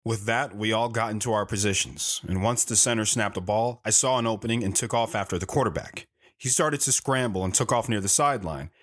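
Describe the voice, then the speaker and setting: A man's voice: fairly low pitch, a little fast, a bit bright. A man playing a plain-spoken guy recounting his youth as first-person storytelling in an audio drama, earnest and matter-of-fact. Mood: earnest narrating.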